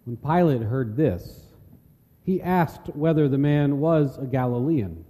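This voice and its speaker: Reverberant church, male narrator, medium pitch, mellow, solemn measured scripture reading. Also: animated. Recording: long church hall reverb.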